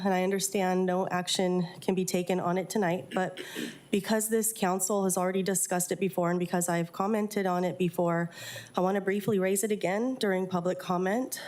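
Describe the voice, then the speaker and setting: A woman's voice: medium pitch, a little mellow. A woman with a stuffy nose from a cold, giving a prepared statement as a public commenter. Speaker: public commenter at a council meeting.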